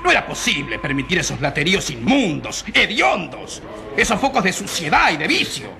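A man's voice: fairly high pitch, huge pitch swings, normal speed, gravelly, sharp tone, slight background noise, heavily acted. An actor in a radio drama playing an opinionated, easily disgusted man in the street. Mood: approving, disgusted. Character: opinionated, disgusted male passer-by. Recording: street crowd behind voice.

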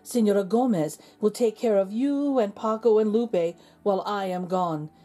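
A gentle, tired village father from a storybook, voiced by a woman reader. He speaks tenderly and seriously in a mellow tone, over faint music. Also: medium pitch, moderate pace, natural voice. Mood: tender, grave.

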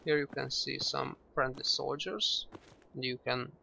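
A man with a gravelly, mid-pitched voice walks through a shooter game at an unhurried pace. He explains things patiently, starting sentences with "well" and pausing on "uh". Loud gunfire from the game plays behind him. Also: muffled recording, a little hiss or hum. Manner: relaxed, explanatory, with frequent "well" and "uh" restarts. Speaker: gameplay tutorial narrator. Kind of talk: casual instructional commentary over gameplay. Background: game gunfire and shooting sounds.

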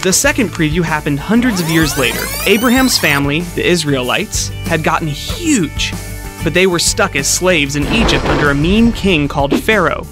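A man giving a scripted, upbeat storytelling narration for an animated children's Bible video. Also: fairly high pitch, normal speed. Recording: studio voice-over with music underneath.

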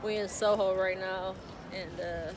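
A woman's voice: medium pitch, steady tone, unhurried. A young American woman chatting casually and slangily to a handheld camera as she vlogs. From United States, Michigan. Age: young adult. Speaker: vlogger. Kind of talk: casual vlog chat. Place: on the move in city streets, eateries, lobby. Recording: noisy city ambience, traffic and crowds.

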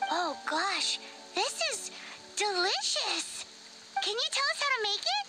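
A tiny, greedy fairy sidekick for a video game. The voice is squeaky and extremely high, childlike and perky, swinging widely over loud background music. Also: female voice, big pitch swings, a little slow, heavily acted, low-quality recording. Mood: delighted. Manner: perky, speaks of self in third person. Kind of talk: video game character acting.

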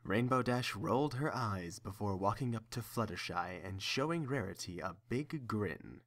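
A man's voice: lowish voice, animated, normal speed, a bit bright. A man reading scripted third-person story narration as the narrator of an audio drama.

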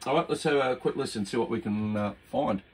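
An older man with an Australian accent giving easygoing, halting, amused commentary on his radio hobby. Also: medium pitch. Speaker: radio hobbyist presenting his own video. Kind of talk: casual unscripted commentary. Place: indoors at a home radio desk. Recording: noisy room with radio static. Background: CB radio hiss and static.

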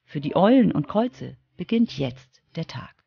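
A woman's voice: deep voice, big pitch swings, moderate pace, gravelly, muffled recording, noisy background. A woman narrating a scripted children's radio feature as its reporter. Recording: music under the voice.

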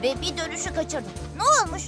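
Very high-pitched, boastful little boy engine. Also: very animated, a little fast. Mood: stubborn, boastful. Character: cheeky, boastful young male steam engine, eager and excitable. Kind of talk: children's cartoon dub. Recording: music under the dialogue.

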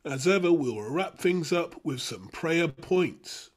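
A man hosting a Bible study, teaching from a script in measured, emphatic phrases. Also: fairly high pitch, lively intonation. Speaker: host of a Bible study show.

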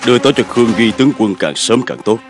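An actor dubbing a calm, resolute middle-aged chancellor and strategist in an animated historical drama, measured and commanding. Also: male voice, mid-range voice, over music, close to an everyday voice. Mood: composed, commanding.

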